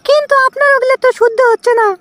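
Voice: very high-pitched, steady tone, very fast, fairly bright tone, no room sound, pitched above the performer's own voice. A performer voicing an impatient, nagging young wife with a Bogura Bangladeshi accent in a comic cartoon, speaking curtly.

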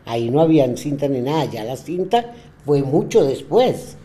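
Mellow older woman, matter-of-fact. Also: sits low, big pitch swings, normal speed. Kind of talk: reminiscing interview.

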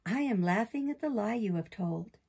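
Woman doing a gentle, motherly fairy. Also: mid-range voice, animated, normal speed, vocal fry, slightly rough. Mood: knowing.

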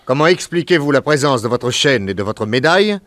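An actor in a French radio drama playing a calm, coolly ironic male detective questioning a suspect. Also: mid-range voice, fairly quick, dry recording, clean studio sound, lightly acted. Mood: probing.

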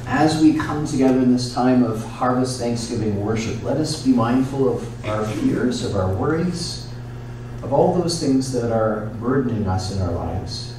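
A man speaking as a priest leading church worship, solemn and measured, in liturgy and prayer. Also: medium pitch, animated, a little mellow. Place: church, indoors. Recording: echoey church from far off, noisy. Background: noise, room ambience.

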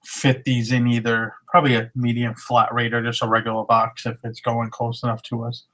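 A man in his thirties casually showing his thrift finds at home, in a relaxed, matter-of-fact way. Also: medium pitch, brisk, rough recording. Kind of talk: casual haul vlog. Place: living room at home. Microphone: webcam at desk.

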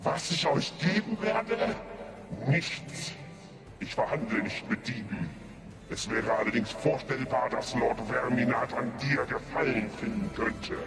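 Man voicing an imperious, slow-spoken dragon. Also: mid-range voice, animated, lightly acted. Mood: contemptuous, impatient. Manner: commanding, contemptuous, threatening. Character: menacing, imperious dragon guarding a stolen hoard. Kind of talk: German fantasy radio drama acting. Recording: loud music under the voice.

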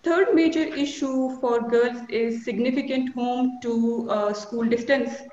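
A woman in her forties with a Pakistani accent, giving a measured conference talk full of figures from a small office, with fillers between numbers. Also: on the high side, a little mellow. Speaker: conference panelist. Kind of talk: formal presentation with statistics. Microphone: video call webcam. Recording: echoey lo-fi video call.

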